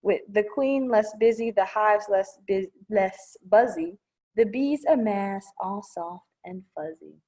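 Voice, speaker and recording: A woman's voice: mid-range voice, takes it slowly, warm tone. A woman reading a children's picture book aloud at storytime, playful and sing-song, with simple asides explaining things to kids. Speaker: children's storytime reader at a public library.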